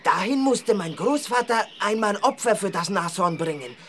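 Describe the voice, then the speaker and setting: A woman's voice: mid-range voice, animated, normal speed, fairly bright tone, slight background noise, natural voice. A woman voicing a timid but observant African village child in a children's audio drama, earnest and childlike, in simple phrases. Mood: earnest, secretive.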